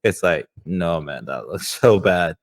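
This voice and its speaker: A man's voice: sits low, animated, a little slow. A man co-hosting a podcast, chatting casually. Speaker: podcast co-host.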